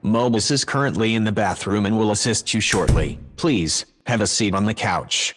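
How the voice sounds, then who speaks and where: A synthetic male voice playing a calm, helpful AI house assistant in a sci-fi audio drama, courteous and formal. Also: fairly low pitch, normal speed, noisy background, natural voice. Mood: polite, helpful. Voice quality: synthetic text-to-speech sound.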